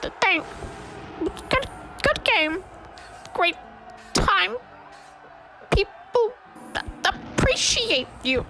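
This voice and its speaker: A woman playing a blunt, awkwardly sincere nihilist in a tabletop game radio drama, speaking in halting broken phrases. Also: extremely high pitch, very animated, pushed and bright, exaggerated voice.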